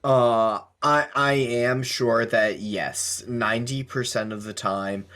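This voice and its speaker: A man hosting a podcast in casual discussion, speaking in a halting, measured way with careful qualifications. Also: medium pitch, steady tone, a bit bright. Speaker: podcast host.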